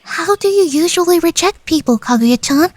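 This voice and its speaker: A woman voicing an earnest, kindhearted high school senior girl in an anime fan radio play, talking in a casual, girlish way. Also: lively intonation, normal speed, darkish tone. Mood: unsure.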